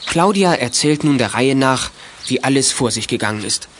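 A man's voice: medium pitch, expressive, moderate pace, fairly bright tone, a little hiss or hum. A man narrating a children's radio play in a scripted storytelling style. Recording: music behind the narration.

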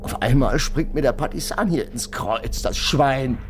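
An actor playing a menacing, jealous German army sergeant in a radio drama, barking threats with an Austrian-Bavarian accent. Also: male voice, mid-range voice, measured pace, soft background music, close to an everyday voice. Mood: furious, taunting. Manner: barking orders, threatening, taunting.

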